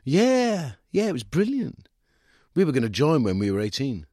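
Man putting on an eager, high-voiced teenage boy. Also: wildly swooping pitch, moderate pace, dry recording, clean studio sound. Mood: excited. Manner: bright-eyed, enthusiastic, guileless.

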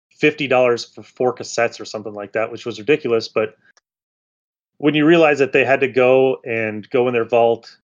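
A slightly dull recording of an American man with a medium-pitched voice. He hosts a podcast in a casual, rambling, conversational way. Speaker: podcast host. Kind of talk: casual chat.

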